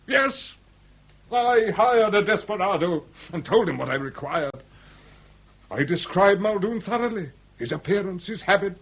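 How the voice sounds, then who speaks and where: A grave, aristocratic lord in his sixties, speaks with a husky edge. His phrasing is formal, ornate and theatrical, in the manner of period radio drama. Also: high voice, a bit bright, heavily acted. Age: 60s. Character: grave aristocratic lord past sixty, confessing a dark secret.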